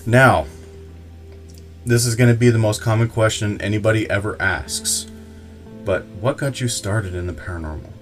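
Low-voiced male podcast host. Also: normal speed, pauses often, soft background music. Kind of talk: casual podcast interview.

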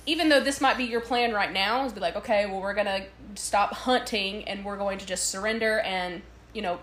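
A woman's voice: medium pitch, brisk, a bit bright, slight background noise. A woman co-hosting a casual podcast, playful and encouraging as she talks. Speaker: podcast co-host. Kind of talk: casual chat.

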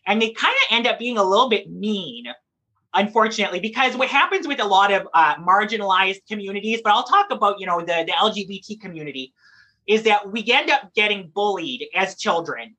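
A drag performer giving conversational interview answers in an animated, explanatory, emphatic way. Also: mid-range voice, a little fast, husky, low-quality recording.

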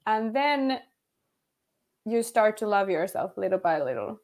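A woman's voice: medium pitch, sing-song, measured pace, a little mellow. A woman in her thirties with a Finnish accent, a podcast guest who speaks openly, laughs often and leans on "like". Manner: laughs often, candid, says "like" a lot. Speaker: podcast guest, yoga teacher. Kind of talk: casual interview chat.

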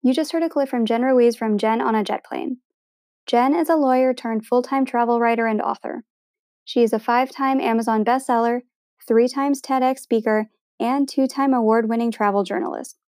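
Upbeat woman podcast host, brighter pitch. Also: steady tone, moderate pace, dry recording, clean studio sound. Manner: upbeat and friendly, reads ad copy smoothly. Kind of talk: podcast intro and interview.

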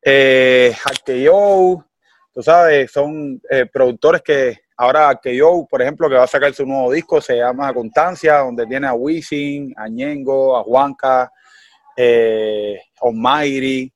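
A young Cuban man with a Cuban accent chatting as a guest in an interview, animated and full of fillers like "you know.". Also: medium pitch, slow, no echo. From Cuba. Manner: animated, heavy fillers like "tú sabes" and "¿me entiendes?". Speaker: guest singer. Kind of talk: casual interview chat.

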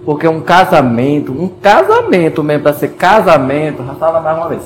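A man's voice: on the high side, big pitch swings, normal speed, very noisy. A man chatting on a podcast, giving rambling, jokey opinions. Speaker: podcast panelist. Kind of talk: casual chat.

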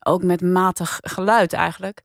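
A woman's voice: fairly low pitch, fairly even intonation, moderate pace, creaky, dry recording, clean studio sound. A woman with a Netherlandic Dutch accent, hosting a podcast panel in casual discussion. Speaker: podcast review panel host.

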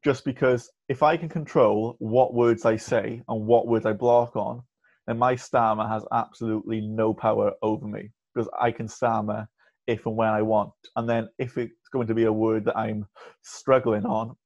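A man's voice: on the low side, level tone, moderate pace, darkish tone. Man speaking with a stammer. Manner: stammers with blocks and repeated sounds. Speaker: podcast guest. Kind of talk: candid conversational interview. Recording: muffled lo-fi audio.

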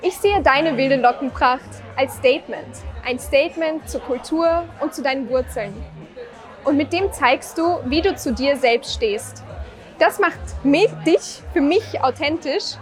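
A young woman interviewing a guest, asking prepared questions in measured, deliberate phrasing. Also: high voice, soft background music. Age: young adult.

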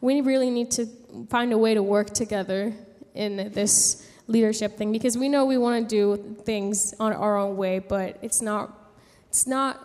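A woman's voice: fairly high pitch, a little mellow. Young woman in a reverberant hall. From San Juan, Puerto Rico. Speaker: speaker giving a personal testimony. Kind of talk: personal storytelling to a gathering.

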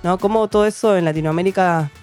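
Lady chatting books, hesitant, lots of restarts, soft music under. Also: on the low side, fast talker. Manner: thoughtful, hesitant, many restarts and tag questions. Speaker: podcast co-host reviewing books. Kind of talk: casual book chat.

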